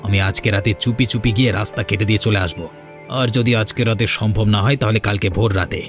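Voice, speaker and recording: A man's voice: on the low side, fairly even intonation, brisk, over music, lightly acted. A man voicing a bullying but cowardly village schemer in a cartoon. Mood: scheming. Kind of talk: cartoon voice acting.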